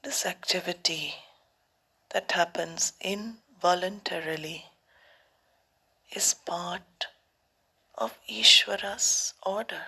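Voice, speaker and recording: Woman, guided meditation teacher, soft near-whisper, very breathy, crisp bright edge, calm, deliberate, long pauses. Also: fairly low pitch.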